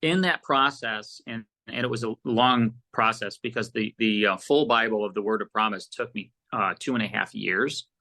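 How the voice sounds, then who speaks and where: A man's voice: on the low side, moderate pace, few pauses. A man speaking casually as an interview guest, a producer telling rambling anecdotes and acting out bits of past conversations. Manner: animated rambling anecdotes, often quoting conversations.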